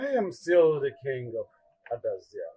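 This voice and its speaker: A man's voice: medium pitch, huge pitch swings, moderate pace, mellow, slightly rough, no room sound. An actor with a Nigerian accent playing a stern, imperious king and father in a royal drama, with a strained, declamatory delivery. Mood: stern, indignant. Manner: declamatory, repeats commands for emphasis. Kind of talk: Nollywood royal drama acting.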